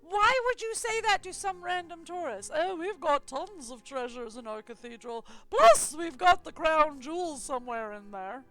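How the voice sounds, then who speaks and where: A woman's voice: sits high, animated, moderate pace, few pauses, fairly bright tone, faint music behind. A woman streaming a game live, commenting in a chatty, sarcastic, self-mocking way.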